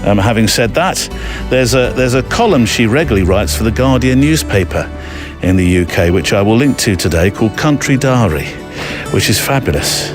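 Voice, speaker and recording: Low-pitched man hosting a chatty podcast. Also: expressive, moderate pace, music in the background. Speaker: podcast host. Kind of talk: casual chatty podcast presenting.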